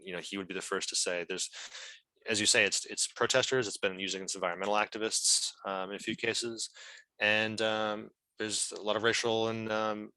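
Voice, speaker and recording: A man answering questions at an online meeting, speaking earnestly in a halting, hesitant way. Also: deep voice, sharp tone, no room sound, low-quality recording. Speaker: legal team member as guest speaker. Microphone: video call.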